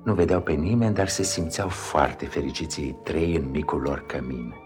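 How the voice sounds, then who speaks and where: An actor playing a reflective author narrating remembered childhood in a solemn, wistful 1950s Romanian radio play style. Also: male voice, a bass voice. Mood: storytelling. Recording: 1950s radio recording, loud music behind.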